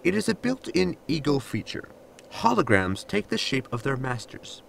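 Man playing a creaky, wildly swooping formal android. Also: medium pitch, sing-song, normal speed, fairly bright tone, slight background noise, exaggerated voice. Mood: matter-of-fact explaining. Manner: formal, matter-of-fact, deferential "sir". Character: blunt, literal male android servant, politely needling. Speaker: voice actor in audio drama. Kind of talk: sci-fi sitcom audio drama acting.

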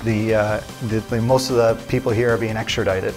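A man in his thirties answering interview questions in a small room on a lapel mic, halting, with many fillers and restarts. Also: fairly low pitch, flat delivery, over music.

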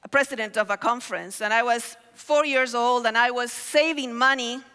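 A middle-aged woman preaches on a big hall stage through a headset mic. Her voice is high and bright, her storytelling animated. The long reverb of the hall trails each phrase. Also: normal speed, clean tone. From Argentina. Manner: animated storytelling with gestures, calls on the crowd. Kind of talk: sermon. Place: large hall stage with audience.

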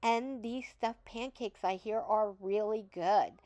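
A woman's voice: medium pitch, a little slow, highs cut off, very noisy. A middle-aged woman hosting a shopping vlog, chatting in an upbeat, enthusiastic voice-over. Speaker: vlog host.

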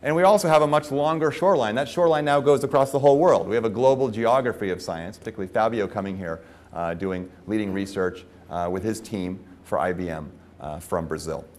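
A man in his forties opening a conference panel as moderator, speaking in a measured, articulate way on a lapel mic. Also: on the low side, a little mellow. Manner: measured, articulate, with frequent "uh" fillers. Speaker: moderator, professor. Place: conference stage.

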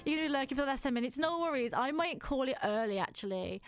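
A woman in her thirties streams a game from home, talking half to herself in a chatty, rambling way. Her voice sits fairly high, slightly worn by a cold, and she speaks into a headset with soft game music behind her. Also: animated, fast talker. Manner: chatty, self-talking, giggly asides. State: has a mild cold, a little tired. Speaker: livestreamer. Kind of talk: casual gameplay commentary.

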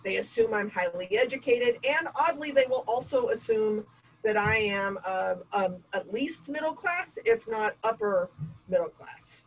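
A woman's voice: on the high side, very animated, a little slow, slight background noise, rough recording. A woman in her forties leading a diversity webinar over a video call, talking candidly with self-mocking asides. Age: 40s. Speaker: diversity trainer. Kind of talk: webinar lecture. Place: home room with bookshelf and bokeh lights. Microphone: webcam video call.

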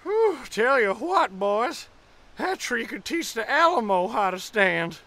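A man's voice: very high voice, sing-song, takes it slowly, noisy background, full character voice. A man playing a rowdy, folksy with an in an audio drama, very theatrical. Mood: folksy, determined. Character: Pecos Bill, rowdy folksy cowboy.

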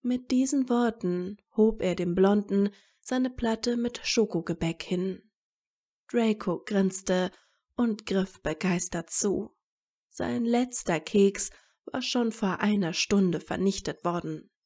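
A woman's voice: medium pitch, takes it slowly, dark tone. A woman narrating a scripted story.

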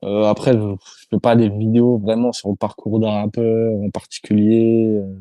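French YouTuber guest, male, mellow dry voice over a video call, hesitant and slangy. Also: fairly low pitch, little pitch movement, normal speed, dry recording. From France. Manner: hesitant, many fillers and restarts, loose slang. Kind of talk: casual interview answers.

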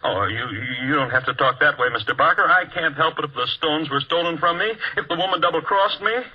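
An actor playing a shifty, cringing shopkeeper, stammering and plaintive, in an old radio crime drama. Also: male voice, fairly high pitch, brisk, slightly rough. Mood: whining, nervous. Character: nervous, cringing male shopkeeper, shifty and whining. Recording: old muffled radio recording.

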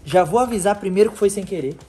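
A young man talks quickly and playfully into a phone held at arm's length. His delivery is casual and joking. A music bed plays clearly behind him. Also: high-pitched, dark tone. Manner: fast, joking, pleading. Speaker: vlogger. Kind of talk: casual vlog chat.

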